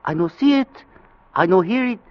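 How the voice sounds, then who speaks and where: An actor playing a polite, superstitious ship's steward in a radio drama, speaking halting broken English with a put-on foreign accent. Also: male voice, high-pitched, lively intonation. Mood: foreboding. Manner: halting, deferential, clipped broken phrases. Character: soft-mannered, superstitious male ship's steward, politely ominous. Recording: dull vintage radio recording.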